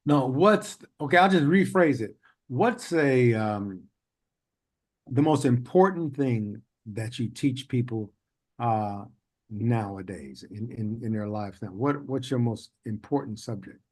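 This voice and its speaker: A man's voice: mid-range voice, expressive, slow pace, a little mellow. A man hosting an interview podcast, chatting casually and wandering into long stories with frequent laughter. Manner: rambling, chatty storyteller who laughs often. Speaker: podcast host.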